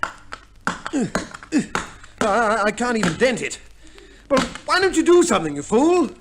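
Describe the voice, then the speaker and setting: An actor playing an urbane, mischievous gentleman husband with clubby, genteel phrasing in a 1950s radio play, on an old recording. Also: very high-pitched, big pitch swings, unhurried, background noise, full character voice. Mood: exasperated.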